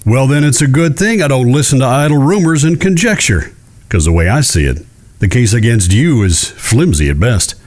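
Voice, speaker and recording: An actor playing a shrewd, courteous judge who speaks in a measured, genteel way in a western audio drama. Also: male voice, medium pitch, animated, darkish tone, close to an everyday voice. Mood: calm, assured. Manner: measured, genteel, deliberate with careful pauses. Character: shrewd, courteous Old West male judge.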